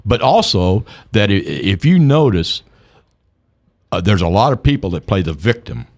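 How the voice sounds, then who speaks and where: An older man, a guest on a radio talk show, telling stories in an animated way and repeating words as he gets going. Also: lowish voice, moderate pace, creaky. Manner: animated storyteller, repeats words when excited. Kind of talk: radio interview.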